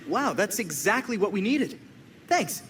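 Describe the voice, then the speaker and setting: An actor playing a guarded, sharp-tongued teenage vigilante in a video game. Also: male voice, sits high, sing-song, measured pace, full character voice. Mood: earnest. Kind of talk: conversational video game acting. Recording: clear background noise.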